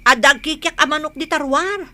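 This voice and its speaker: Woman acting village daughter, high-pitched, bright, questioning, chiding, radio comedy drama. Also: moderate pace. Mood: curious. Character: inquisitive young grown daughter of a village household.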